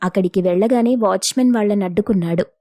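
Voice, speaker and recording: A woman's voice: medium pitch, fast talker, warm tone, no echo. Woman narrating, close dry sound. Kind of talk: scripted moral story narration.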